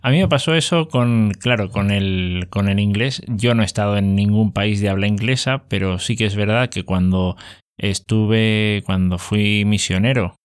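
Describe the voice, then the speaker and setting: A man's voice: fairly low pitch, normal speed, smooth. A man with a Spain Spanish accent chatting casually as host, explaining things at length with many fillers. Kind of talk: casual conversation.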